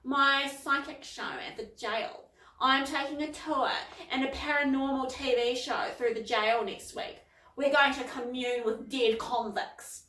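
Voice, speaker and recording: A woman voicing a rude, quick-tempered housekeeper who talks bluntly, recorded in a roomy space at some distance from the mic. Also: high voice, fairly even intonation, pushed and bright, voice pushed up. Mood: boastful. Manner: snarling, blunt.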